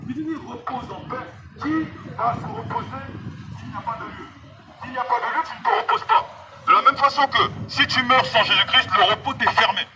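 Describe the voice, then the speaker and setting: A man's voice: very high-pitched, fairly quick. A man preaching fervently through a megaphone, urging passers-by directly with emphatic, repeated phrases. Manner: exhortatory, emphatic, repetitive, addressing passers-by directly. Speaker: street evangelist. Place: outdoors on a street. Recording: noisy outdoor street recording with vehicles.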